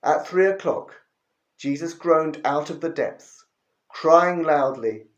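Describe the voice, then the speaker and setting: A man reading a chapter of the Bible aloud for a church, slowly and expressively. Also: fairly high pitch, expressive, highs cut off. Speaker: scripture reader. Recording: roomy echo.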